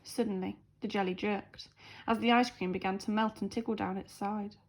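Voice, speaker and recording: A woman's voice: medium pitch, fairly even intonation, moderate pace, a little hiss or hum. A woman teacher reading a storybook aloud to young children with dramatic, emphatic expression.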